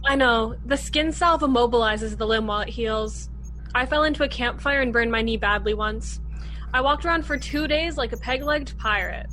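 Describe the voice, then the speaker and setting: High bright girl's voice, acted by a young woman, kind, practical, radio drama, faint music behind. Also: normal speed, a little hiss or hum, lightly acted. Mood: soothing. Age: young adult. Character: kind, practical teenage girl crewmate. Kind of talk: radio play acting.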